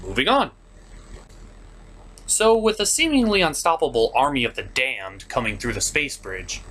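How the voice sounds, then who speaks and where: A man's voice: mid-range voice, a bit bright. Male review host, comedic recap style. Emphatic, exasperated, swooping delivery over faint noise.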